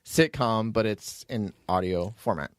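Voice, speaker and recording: Low-voiced man hosting a casual podcast. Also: unhurried, no echo, clean studio sound. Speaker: podcast host and producer. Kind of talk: casual podcast chat with plugs.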